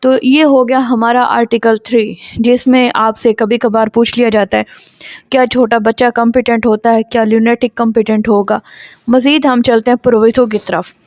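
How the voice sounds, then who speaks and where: A woman's voice: on the high side, level tone, normal speed, a little mellow, dry recording, highs cut off. A woman with a Pakistani accent giving an explanatory law lecture, didactic, often asking and answering her own questions and mixing in English legal terms. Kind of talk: explanatory lecture, reading out statutes and glossing them.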